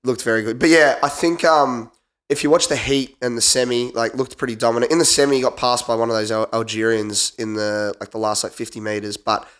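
A young Australian man with a hoarse, flu-roughened voice, riffing as a guest co-host. He jokes, swears freely and trails off into restarts, talking in an ordinary mid-range pitch. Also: steady tone, a little fast, a bit bright. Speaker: guest co-host, former 800m runner. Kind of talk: casual podcast banter.

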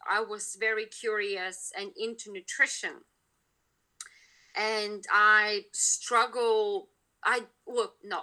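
A woman with a Lithuanian accent and a very bright, forceful voice, pitched a little high. She talks casually as a podcast guest, full of fillers and restarts. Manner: chatty, many fillers and restarts. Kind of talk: casual interview talk.

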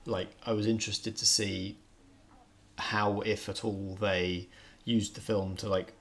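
Male host for film review chat. Lowish voice, informal, thinks aloud with some hesitation. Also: little pitch movement, a little slow.

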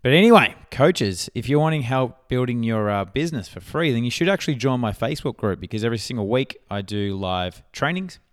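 A man's voice: mid-range voice, expressive, a little fast, hardly any pauses. A man with an Australian accent talking casually and informally as a podcast host and coach.

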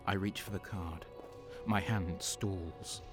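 An actor playing a bitter, violent male renegade guardsman, narrating slowly in the first person. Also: low voice, fairly even intonation. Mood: tense. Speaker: narrator and lead. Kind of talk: audio drama narration. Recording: music underneath.

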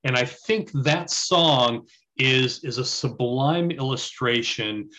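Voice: medium pitch, slow pace, sharp tone. An interview host musing reflectively, with slight halts, before handing back to the guest.

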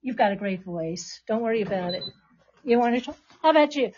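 Woman, high voice, live podcast host, encouraging teacher manner, dull recording. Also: animated, fairly quick, darkish tone, a little hiss or hum. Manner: encouraging, reassuring prompts. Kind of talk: live podcast hosting, addressing a class. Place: classroom with about twenty people.

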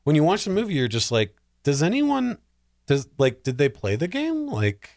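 A man talking casually and emphatically as a podcast panelist, giving animated opinions on movies and games. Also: a little high, normal speed, vocal fry, no room sound, studio quality. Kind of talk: casual film and game discussion.

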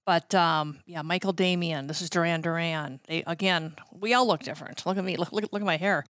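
A woman's voice: on the low side, fairly even intonation, brisk, fairly bright tone, no echo, studio quality. A middle-aged woman, chatting casually as an interview guest and drifting into asides as she shares anecdotes. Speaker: guest, former radio promotions worker.

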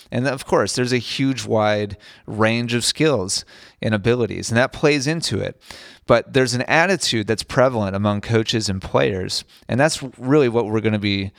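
A man's voice: lowish voice, a bit bright, clean tone, no room sound, clean studio sound. A man hosting a tennis podcast, talking earnestly and repeating himself as he restarts phrases, his voice a bit strained after recovering from an illness. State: recovering from voice loss, slightly strained. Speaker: podcast host and tennis coach. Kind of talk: solo podcast talk. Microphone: studio podcast microphone.

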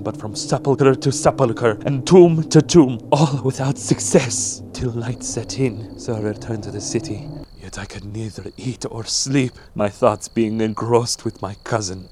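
A man playing a remorseful king's son who recounts his past in formal, measured, theatrical audio drama narration. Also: on the low side, darkish tone, a little hiss or hum, lightly acted. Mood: mournful. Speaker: storyteller. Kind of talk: scripted audio drama narration of an archaic tale. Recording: music behind the voice. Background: music.

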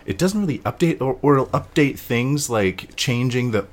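A man's voice: mid-range voice, animated, normal speed. A man hosting a podcast, chatting loosely and joking, swearing casually. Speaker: podcast host. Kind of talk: casual chat.

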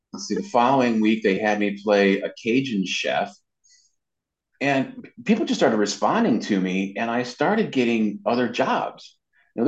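Deep-voiced man telling stories, echoey room. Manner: chatty storyteller, acts out quoted dialogue. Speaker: interviewee, amateur voice actor. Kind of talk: casual anecdotal interview talk. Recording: dull, roomy, echoey sound.

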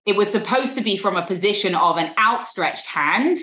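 A woman's voice: medium pitch, lively intonation, normal speed, pushed and bright, roomy sound. A British woman in an interview, speaking earnestly and often repeating words as she thinks. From Britain.

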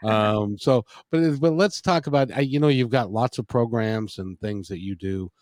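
A man hosting an interview, talking in an animated, joking way with lots of fillers. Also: lowish voice, moderate pace, dry recording, clean studio sound. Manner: animated, jokey, with many fillers. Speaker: host. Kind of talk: interview conversation.

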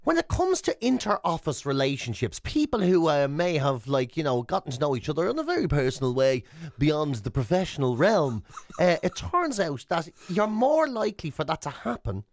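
A man's voice: fairly high pitch, huge pitch swings, normal speed, dry recording. A man with an Irish accent hosting radio in casual comic banter, jokey and emphatic, repeating himself for effect. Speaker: radio show host.

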